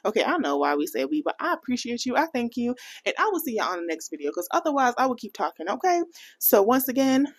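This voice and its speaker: An American woman chatting casually in a budgeting vlog, animated and playful, laughing often and dropping into a Jamaican accent for bits. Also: fairly high pitch, quick pace, breathy edge. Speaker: budgeting vlogger.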